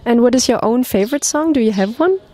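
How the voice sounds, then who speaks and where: A woman's voice: on the high side, expressive, fast talker, mellow. A woman interviewing a musician, asking questions with some hesitation and fillers. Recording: faint background noise.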